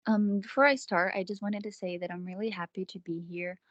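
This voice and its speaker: A woman's voice: mid-range voice, fairly even intonation, fairly quick, fairly bright tone, dry recording, highs cut off. A young Brazilian woman on a video call, speaking hesitantly with many fillers. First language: Portuguese. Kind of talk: casual conversation on a video call.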